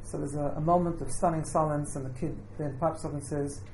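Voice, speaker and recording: A woman giving an informal welcome speech as an organiser, joking and somewhat halting as she talks. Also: low-pitched, fairly even intonation, darkish tone. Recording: echoey room, faint background noise.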